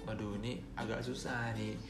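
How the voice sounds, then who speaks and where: A young man with a Balinese accent talks through a casual solo podcast in a lowish voice. He rambles, stops to correct himself and fills gaps with ums. His voice rings in a roomy space while guitar music plays clearly behind him. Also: flat delivery, moderate pace. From Bali. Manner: chatty, rambling, with many fillers and self-corrections. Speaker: podcast host.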